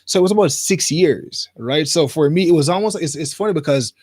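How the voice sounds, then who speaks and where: A man from Jamaica hosting a livestream, chatting informally to viewers in a rambling way and pausing as he goes. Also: on the high side, a little mellow, dry recording. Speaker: livestream host. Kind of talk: casual livestream chat to viewers. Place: studio.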